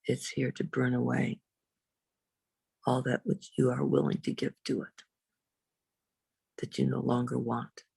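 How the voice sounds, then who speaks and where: A woman reverend leading a guided meditation in a slow, soothing, unhurried way, with long pauses. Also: little pitch movement, mellow, no echo. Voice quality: low, husky, creaky.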